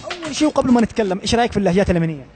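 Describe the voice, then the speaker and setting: A man with a Yemeni accent interviewing passersby in the street with brisk, upbeat questions. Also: sits high, big pitch swings, a little mellow, faint music behind. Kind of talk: street vox pop interviews.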